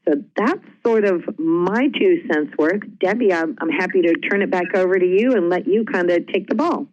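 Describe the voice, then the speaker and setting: A woman's voice: lowish voice, normal speed, a little mellow, a little hiss or hum. Female webinar presenter, conversational delivery, somewhat muffled lo-fi connection. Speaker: webinar presenter, healthcare quality specialist. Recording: slightly dull, lo-fi audio.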